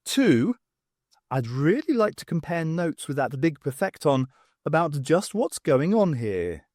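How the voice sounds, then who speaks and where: A determined, wry adventuress, put on by a man reading a storybook aloud. She speaks slowly and dryly, commanding and quick-witted. The sound is close and dry, with no room around it. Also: low-pitched, very animated, a little mellow, no echo, voice pushed up, full character voice. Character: brisk, determined adventurous woman archaeologist.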